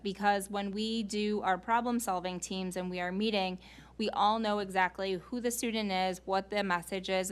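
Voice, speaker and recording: A woman's voice: mid-range voice, clean tone. A woman speaking as a school staff member in an informal, explanatory meeting presentation, earnest and given to rhetorical questions.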